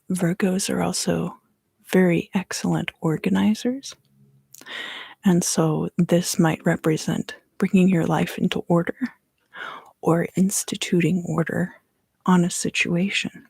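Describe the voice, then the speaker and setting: A woman from the southern US East Coast giving a soft, unhurried ASMR card reading in whispers, often pausing. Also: on the low side, gravelly, husky, dry recording. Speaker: tarot reader and ASMR creator.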